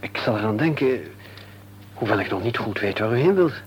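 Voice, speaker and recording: An actor playing a modest, courteous gentleman in a radio play, speaking politely, formally and with some hesitation. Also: on the low side, expressive, a little fast, husky, dull audio. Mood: cautious, polite. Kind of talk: Dutch radio play acting. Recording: faintly noisy old radio recording with hum.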